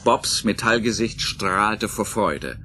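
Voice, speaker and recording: A man's voice: on the low side, expressive, takes it slowly, pushed and bright. A man narrating a science fiction radio play as scripted, dramatic storytelling. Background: music under the voice.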